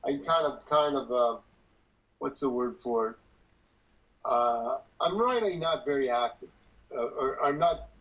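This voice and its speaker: A man's voice: mid-range voice, unhurried, pauses often, fairly bright tone. A man in his early seventies talking casually and in a rambling, folksy way as he leads a group call. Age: early 70s. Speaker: church leader leading a group call. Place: dim room at home, on a video call. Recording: dull, lo-fi video call audio.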